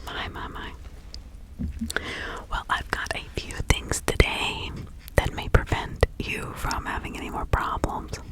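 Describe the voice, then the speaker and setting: A woman playing a reclusive, gossipy village witch of the 1600s in a confiding, rambling ASMR roleplay, all in a breathy whisper. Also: measured pace, close to an everyday voice, low-quality recording. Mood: musing. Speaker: ASMR performer.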